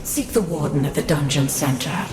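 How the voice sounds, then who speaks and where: A woman's voice: low voice, animated, moderate pace, a little mellow. An actress playing the sorrowful, regal ghost of a queen with a solemn, formal delivery. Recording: echoey game audio with faint music.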